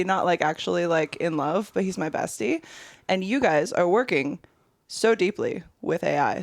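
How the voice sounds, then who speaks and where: A woman's voice: medium pitch, vocal fry, dry recording. A bubbly young woman hosting a podcast, chatting casually with guests and laughing often. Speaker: podcast host. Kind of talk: casual interview chat.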